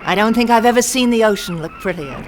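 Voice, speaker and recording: An actress playing a wealthy, gullible wife and spiritual seeker, speaking in an earnest, airy, gushing way in a radio drama. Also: female voice, fairly high pitch, huge pitch swings, quick pace, noisy background. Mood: breezy. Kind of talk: radio mystery drama acting.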